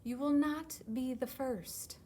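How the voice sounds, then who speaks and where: A woman voicing an exasperated, practical panda in a children's picture book, bossy and flatly contradicting. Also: high voice, slow.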